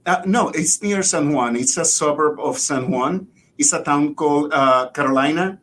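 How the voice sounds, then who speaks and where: A middle-aged man with a Puerto Rican accent talks casually as an interview guest. His voice sits slightly high, he often repeats words hesitantly, and there is a faint rumble on the recording. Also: moderate pace, fairly bright tone. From Puerto Rico. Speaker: guest, biology professor. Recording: rumbly background noise.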